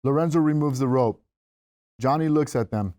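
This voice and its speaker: A man narrates a script at a table read, reading out the stage directions. His pitch sits in the middle range, and the sound is dry and close. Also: moderate pace, mellow, dry recording.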